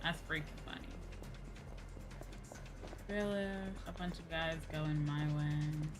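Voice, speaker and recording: A woman giving casual live gameplay commentary as a streamer, reacting out loud and repeating phrases. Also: low-pitched, fairly even intonation, takes it slowly, music in the background. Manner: repeats phrases, amused reactions, reads lines aloud. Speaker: game streamer.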